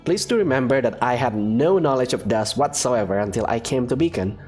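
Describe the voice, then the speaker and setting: A woman voicing a quiet, polite young male student in a fan-dubbed visual novel, reserved and a little hesitant. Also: extremely low pitch, expressive, brisk, soft background music. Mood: earnest.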